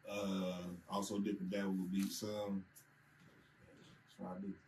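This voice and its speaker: A low-voiced man from Michigan talks confidently about his music and tells his stories with lively energy. A faint ambient noise sits behind him. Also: measured pace, echoey room, rough recording. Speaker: rapper guest. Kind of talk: casual storytelling.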